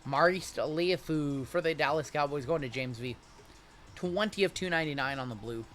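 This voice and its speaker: Bright-voiced man hosting a card break. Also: a little high, normal speed, soft background music. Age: 30s. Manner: chatty, rattles off player names and chats with viewers. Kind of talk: live card break stream patter.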